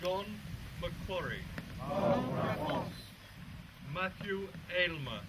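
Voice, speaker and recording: Man, high voice, very slow solemn roll call, outdoors, heavy background noise. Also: expressive. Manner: reads names slowly and solemnly. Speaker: name reader at a memorial. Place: outdoors at a memorial.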